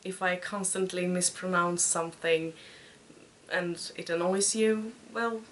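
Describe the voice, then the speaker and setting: A Swedish woman in her twenties hosting a podcast in casual chat, speaking with a Swedish accent and pausing often to find her words. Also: fairly low pitch, fairly even intonation, slight background noise. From Sweden. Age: 20s. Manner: hesitant, searching for words. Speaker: podcast host.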